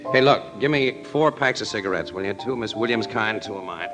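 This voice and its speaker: An actor playing a breezy, nosy American newspaper photographer in 1940s radio style, slangy and wisecracking. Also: male voice, fairly low pitch, fairly quick, close to an everyday voice. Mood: breezy, curious. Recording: vintage radio drama, music in places.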